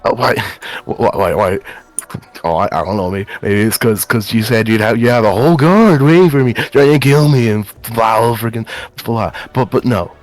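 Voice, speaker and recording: A man's voice: fairly low pitch, moderate pace, close to an everyday voice. A man playing an agitated, betrayed young man in improvised roleplay, stammering and repeating words in bitter, sarcastic accusation. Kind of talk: improvised in-character roleplay dialogue.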